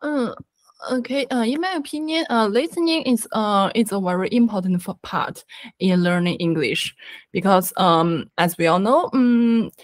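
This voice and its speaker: A woman's voice: mid-range voice, no echo. Hesitant woman, Chinese accent, dry sound. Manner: halting, many ums and restarts. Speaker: guest learner. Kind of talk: casual conversation.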